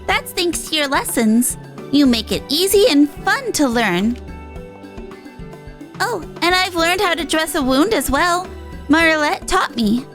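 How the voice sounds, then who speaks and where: A woman's voice: sits high, lively intonation, a little slow, a bit bright, soft background music, voice pushed up. A woman voicing an eager, sweet young girl in a video game, earnest and childlike. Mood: proud, cheerful. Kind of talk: amateur game dialogue read-aloud.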